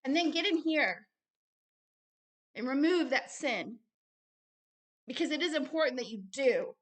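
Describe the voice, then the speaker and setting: A woman's voice: high-pitched, expressive, measured pace. A woman ministry host giving religious testimony and commentary in an emphatic, blunt manner, repeating phrases to drive them home. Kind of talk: impassioned religious testimony, exhortation and commentary on a book read aloud.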